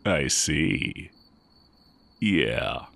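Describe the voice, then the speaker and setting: Wicked sorcery professor male actor: extremely low, slow, gravelly drawl, smug and sardonic, for romance audio roleplay. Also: lively intonation, fairly bright tone, heavily acted. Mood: sympathetic, fond. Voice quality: very deep, creaky. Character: evil male magic teacher, heretic, smug, amused, fond of former student.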